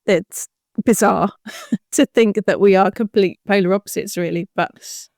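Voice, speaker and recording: A woman's voice: medium pitch, measured pace, gravelly, darkish tone, dry recording, studio quality. A woman hosting a podcast interview in a chatty, jokey manner. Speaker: podcast host.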